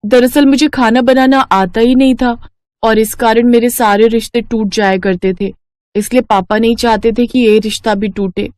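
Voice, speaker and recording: A woman's voice: fairly high pitch, fairly even intonation, normal speed, darkish tone, no echo, clean studio sound, lightly acted. A woman voicing a hapless young daughter-in-law, anxious and complaining, in a cartoon story. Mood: confessing. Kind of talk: Hindi cartoon story dialogue.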